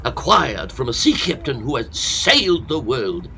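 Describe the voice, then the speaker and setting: Comic audio drama: pompous old hypochondriac antiquarian, slow, highly animated, faint background noise. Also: male voice, gravelly, exaggerated voice. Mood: self-pitying. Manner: theatrical, pompous, fretful. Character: fussy, self-pitying hypochondriac old Victorian antiquarian professor.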